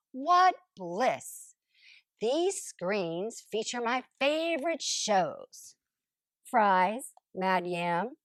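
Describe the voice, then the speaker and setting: A woman voicing a smug, lazy couch potato in an expressive, theatrical storybook read-aloud. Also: mid-range voice, no echo, clean studio sound. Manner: theatrical, exclamatory, drawn-out emphasis. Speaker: storybook narrator character. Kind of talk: expressive first-person picture book read-aloud.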